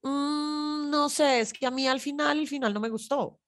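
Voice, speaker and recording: A woman's voice: sits high, normal speed. A woman with a Colombian accent co-hosting a podcast in casual, informal conversation as she gives her review. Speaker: podcast co-host.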